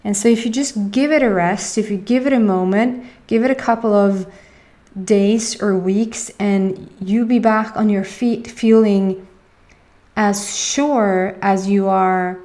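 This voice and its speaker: A woman's voice: mid-range voice, fairly even intonation, slow, dark tone. A young woman with a Swedish accent, a native Swedish speaker, giving a casual tarot reading and often searching for her words. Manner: rambling, searching for words, many fillers. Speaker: tarot reader.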